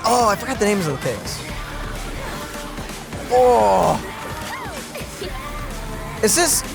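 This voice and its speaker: Excitable, animated young reaction host. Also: medium pitch, slow pace, vocal fry, music in the background. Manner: excitable, gamer slang. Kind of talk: excited reaction commentary, casual chat.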